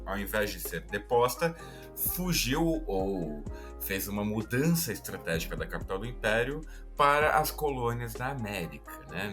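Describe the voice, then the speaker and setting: Chatty Brazilian man explaining history. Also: fairly low pitch. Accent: Brazilian Portuguese. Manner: chatty, explanatory, self-deprecating asides. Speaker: podcast host. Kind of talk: casual history podcast explainer.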